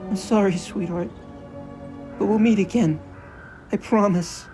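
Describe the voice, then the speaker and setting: A woman's voice: medium pitch, steady tone, unhurried, dark tone, soft background music, natural voice. A woman voicing a loving, sorrowful mother raccoon speaking tenderly in an audio drama. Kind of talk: audio drama character acting.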